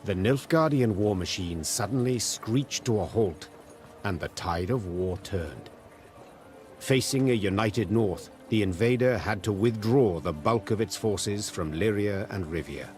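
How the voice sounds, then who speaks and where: A man playing a solemn chronicler, narrating a fantasy video game in a grave, deliberate storybook manner. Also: on the low side, a little mellow, lightly acted. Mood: solemn, epic. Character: solemn male chronicler of a war saga.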